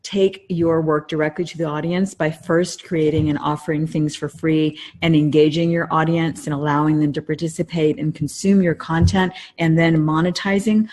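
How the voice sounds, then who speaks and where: A woman's voice: low voice, steady tone, moderate pace, few pauses, a little mellow. A woman co-hosting a podcast, explaining things casually in a wordy way and rephrasing herself as she goes. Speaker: podcast co-host.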